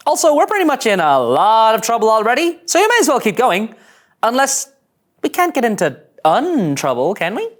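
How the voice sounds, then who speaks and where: A woman plays a young space ensign in a script read. Her pitch is high and very mobile, giving an anxious, eager, excitable character. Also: moderate pace. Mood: flustered. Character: jittery, chirpy young starship ensign.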